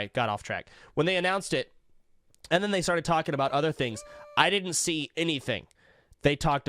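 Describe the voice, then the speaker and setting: A man's voice: medium pitch, fairly bright tone, no room sound, studio quality. A man livestreaming casual reaction commentary, rambling along in slangy, sweary language.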